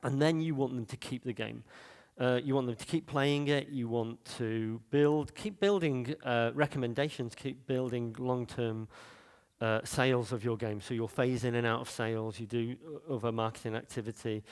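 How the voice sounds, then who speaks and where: A man's voice: medium pitch, fairly even intonation, moderate pace, clean tone, in a large hall. Chatty Manchester man giving a talk. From Manchester, England. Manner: loose, chatty delivery with many fillers and restarts. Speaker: conference speaker. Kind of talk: conference talk.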